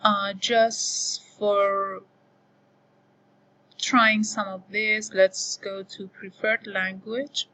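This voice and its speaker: A woman whose first language is Farsi, slowly walking through a software screen as an online course instructor, thinking aloud with many pauses and fillers. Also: mid-range voice, flat delivery, low-quality recording. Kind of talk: screen-recorded tutorial walkthrough.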